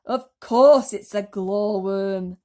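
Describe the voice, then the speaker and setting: A loud, bossy centipede from a storybook, acted out by a woman. He is grumpy and impatient, barking out scolding orders at a forceful volume. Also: medium pitch, takes it slowly, close to an everyday voice.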